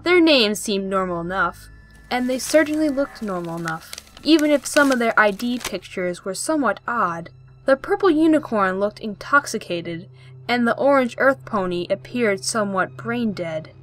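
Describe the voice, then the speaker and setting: A woman's voice: fairly high pitch, lively intonation, moderate pace, a bit bright, soft background music, rough recording. A woman reading scripted story narration as the narrator of an audio play.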